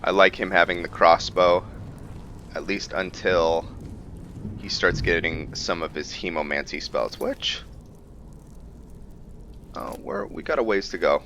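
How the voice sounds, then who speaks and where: A man's voice: low voice, a little slow, pauses often, a bit bright, slight background noise. A man hosting a gaming video, giving casual let's-play commentary as he plays.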